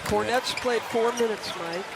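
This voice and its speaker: A man's voice: high-pitched, moderate pace, vocal fry, very noisy. A man working as a color analyst, commenting live on a basketball game.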